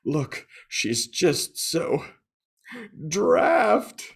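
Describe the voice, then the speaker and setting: A man's voice: sits high, wildly swooping pitch, extremely slow, vocal fry, slightly rough, pitched above the performer's own voice, exaggerated voice. Man putting on a snooty, drawling valley girl. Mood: mock disgust. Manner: drawling, exaggerated disdain. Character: snooty, disgusted young valley-girl woman gossiping.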